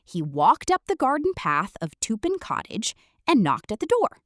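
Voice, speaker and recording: A woman's voice: on the high side, wildly swooping pitch, moderate pace, no echo, studio quality. A woman storyteller reading a children's book aloud theatrically, addressing the listener directly.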